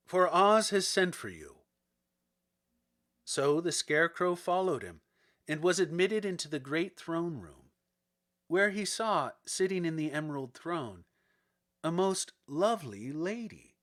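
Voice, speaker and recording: A man narrating a children's storybook as an audiobook reader, in an expressive and measured manner. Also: a little high.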